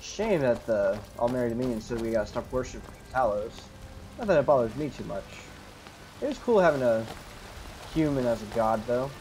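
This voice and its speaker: A man's voice: mid-range voice, expressive, fairly quick, a little mellow, slight background noise. A man giving casual role-play commentary over a video game, making laid-back, wry asides in character. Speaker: gamer role-playing his character. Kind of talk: casual roleplay gameplay commentary. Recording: game music and sounds behind. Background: video game audio.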